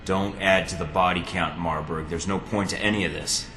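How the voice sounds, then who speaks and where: A man's voice: deep voice, steady tone, normal speed, bright, forceful tone, faint music behind. An actor playing a tough, wry young male secret agent in a video game, with a dry, terse delivery. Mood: commanding. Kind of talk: dramatic video game dialogue.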